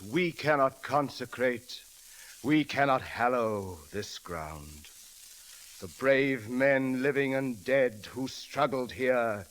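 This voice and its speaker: An actor playing a solemn, reverent man giving a deliberate recitation in an old 1930s radio drama, on a crackly recording. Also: on the high side, clean tone. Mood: earnest. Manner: solemn, deliberate oratory, swelling from hushed to fervent.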